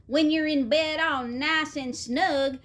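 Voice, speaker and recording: Woman as a hearty, high, emphatic lady horse. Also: steady tone, slow pace, fairly bright tone. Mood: hearty, eager. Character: big, hearty, over-eager female horse, sure of herself. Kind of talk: children's storybook read-aloud.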